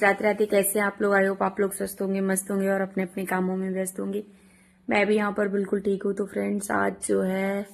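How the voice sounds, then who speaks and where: Hoarse woman chatting in a vlog. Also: mid-range voice. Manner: homely, chatty, addresses viewers as friends. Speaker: vlogger. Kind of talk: chatty travel vlog narration.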